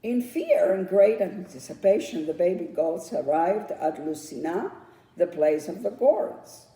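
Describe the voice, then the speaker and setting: A woman reading her children's story aloud as its author, with dramatic, drawn-out storytelling phrasing. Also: lowish voice, lively intonation, mellow. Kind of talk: storybook read-aloud narration. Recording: roomy echo, distant microphone.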